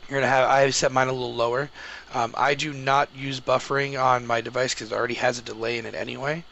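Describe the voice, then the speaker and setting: Bright-voiced man giving a casual tech tutorial. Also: mid-range voice, flat delivery, fast talker, lo-fi sound. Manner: informal, explanatory, addressing viewers directly. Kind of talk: casual step-by-step screen tutorial. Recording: clearly noisy home microphone recording.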